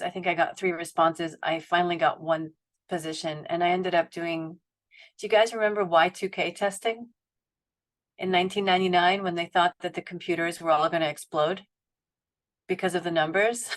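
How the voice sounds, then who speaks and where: A woman's voice: on the low side, steady tone, normal speed. Reflective middle-aged woman on a webinar. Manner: reflective, roundabout, many restarts and fillers. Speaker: panelist at a family foundation. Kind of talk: webinar panel talk. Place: home.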